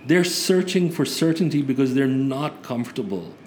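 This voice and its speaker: A man's voice: medium pitch, expressive, normal speed, darkish tone. A man in a casual political discussion, earnest and thinking aloud, often restarting his sentences. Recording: faint background noise.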